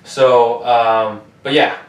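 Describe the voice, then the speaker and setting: A man's voice: mid-range voice, steady tone, drawn-out words. A young man from the US co-hosting a casual sports podcast, loose and profane, with frequent joking. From United States. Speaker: podcast co-host. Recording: roomy, distant mic with faint noise.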